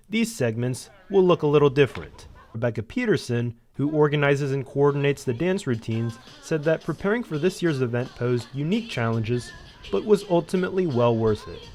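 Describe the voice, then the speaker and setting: Young male voice, student news anchor, scripted narration, medium pitch. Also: a little mellow. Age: young adult.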